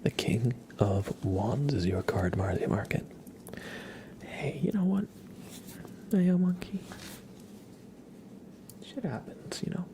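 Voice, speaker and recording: A young man hosting a relaxed ASMR livestream, mostly whispering and speaking softly in a reassuring, encouraging way. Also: medium pitch, slow, pauses often, heavy background noise. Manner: soft-spoken, mostly whispering. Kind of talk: relaxed ASMR, chatting with viewers and reading tarot cards.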